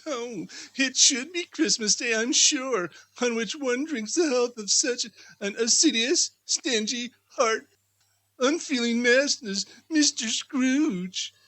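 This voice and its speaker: A man voicing a fussy, sharp-tongued mother in a theatrical storybook reading, exclaiming and scolding. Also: very high voice, slow, voice pushed up, heavily acted. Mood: indignant.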